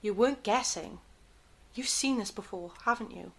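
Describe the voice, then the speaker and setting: A woman's voice: medium pitch, moderate pace, creaky, breathy edge. A woman playing a shaken, defiant survivor of an ordeal in an audio drama, intense and earnest. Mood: searching, insistent.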